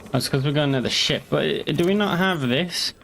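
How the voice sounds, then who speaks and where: A man's voice: fairly high pitch, moderate pace, creaky, a bit bright, a little hiss or hum. A young man chatting casually while streaming a game, rambling and joking with the odd curse. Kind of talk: casual gaming commentary.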